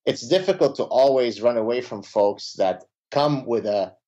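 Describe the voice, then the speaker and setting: A man who grew up in Lebanon with Arabic as his first language, speaking as a guest in a conversational interview, animated and full of filler sounds. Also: moderate pace. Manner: animated, pitch jumps when stressing points, many filler uhs. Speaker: podcast guest, academic. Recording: dull lo-fi audio.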